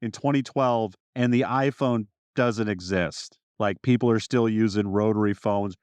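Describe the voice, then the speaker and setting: A man co-hosting a podcast, riffing in casual, animated conversation. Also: lowish voice, unhurried, clear, resonant tone, no echo. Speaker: podcast co-host.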